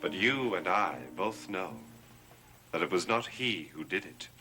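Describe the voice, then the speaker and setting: Stern, low-voiced gothic manor master. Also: male voice, expressive, a little slow, music in the background, rough recording. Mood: commanding, urgent. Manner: stern, imperious, theatrical. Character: commanding, tormented master of a cursed manor. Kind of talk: 1960s gothic soap opera acting.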